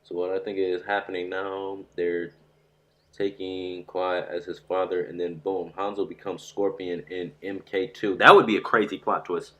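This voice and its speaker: Male gaming streamer, stuffy voice, low-ish and bright, rambling and excitable. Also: normal speed, highs cut off. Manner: excitable, rambling, says "bro" a lot. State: stuffy from a sore throat. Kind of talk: rambling live-stream chat.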